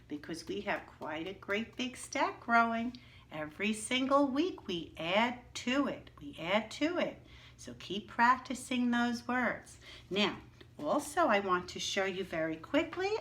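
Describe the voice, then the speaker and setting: Slow bright lady teacher doing letter sounds with little kids, lots of "say it with me". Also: mid-range voice. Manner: encouraging, slow and drawn out, calls for repetition. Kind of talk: early-reading lesson for young children.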